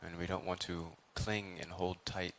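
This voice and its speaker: A man leading a guided meditation as a Buddhist teacher, speaking in measured phrases with long pauses between them. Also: sits low, little pitch movement, gravelly, fairly bright tone, a little hiss or hum.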